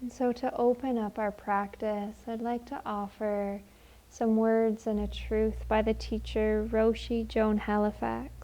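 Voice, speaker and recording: A woman leading a guided meditation in a gentle, unhurried, soothing manner with long pauses. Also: on the high side, flat delivery, low-quality recording.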